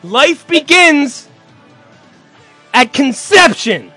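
High-voiced, profane radio cohost. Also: male voice, big pitch swings, extremely slow, faint music behind. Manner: loud, profane, laughing outbursts. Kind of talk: crude, excitable banter.

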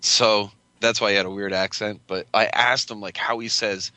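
A man's voice: lowish voice, fairly even intonation, moderate pace, bright, forceful tone, no room sound. A man with a New Jersey accent, telling stories and joking casually, with some swearing. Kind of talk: casual podcast chat.

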